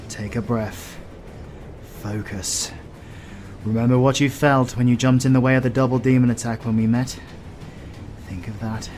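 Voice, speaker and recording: A man's voice: medium pitch, noisy background, natural voice. A man with a British accent playing a stern, sardonic demon hunter who mentors his apprentice in a fantasy audio roleplay, dry and commanding. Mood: calm, coaching. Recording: music behind the voice. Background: music.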